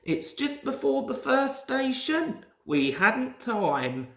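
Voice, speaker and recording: A woman's voice: sits high, sing-song, slow, creaky, voice pushed up, heavily acted. A woman voicing a friendly, hard-working male diesel engine in a storybook, earnest and fretful, in an echoey room. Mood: worried. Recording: roomy, echoing home recording.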